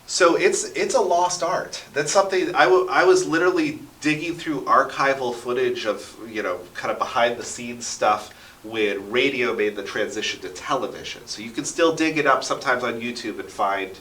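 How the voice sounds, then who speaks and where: A man's voice: mid-range voice, normal speed, fairly bright tone, slight background noise. A talkative man in his thirties telling stories with enthusiasm and dramatic emphasis as a radio interview guest. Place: basement radio station studio. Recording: roomy echo, distant from the mic.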